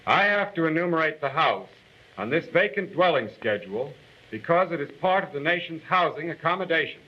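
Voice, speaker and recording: A businesslike census taker in a 1940s government film. He is a polite, methodical man with a fairly high voice who explains procedures formally. The old soundtrack is dull and lo-fi. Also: lively intonation, brisk. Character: businesslike, polite male census enumerator questioning residents. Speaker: actor in a government training film. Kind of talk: 1940s instructional film acting.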